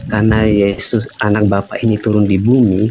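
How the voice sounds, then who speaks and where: A man putting questions in a debate over a voice call, halting and restarting while he keeps checking for agreement. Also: on the low side, flat delivery, noisy background. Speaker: caller questioning a guest.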